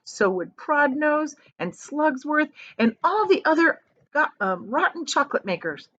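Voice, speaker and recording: A woman reads a storybook aloud in the manner of a teacher. Her pitch is lively and varied, and she leans on descriptive words for drama. The recording is a little dull. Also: unhurried. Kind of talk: expressive storybook read-aloud with asides.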